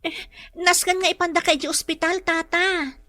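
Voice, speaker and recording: A woman plays a gentle young nurse in a radio play who speaks politely. Her voice is high and bright. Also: fairly even intonation, moderate pace. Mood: concerned. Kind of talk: radio drama acting.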